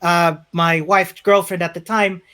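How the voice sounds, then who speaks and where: A man's voice: sits high, level tone, a little slow, pushed and bright, clear, resonant tone. A man from Chile with a Chilean accent, a developer guest talking through his career in a casual streamed interview, self-deprecating and full of restarts.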